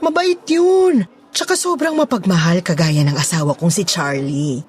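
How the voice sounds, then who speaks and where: A woman's voice: lowish voice, animated, moderate pace, a little mellow, natural voice. A woman playing a chatty married boss lady in an audio horror story, coaxing and teasing insistently like a matchmaker.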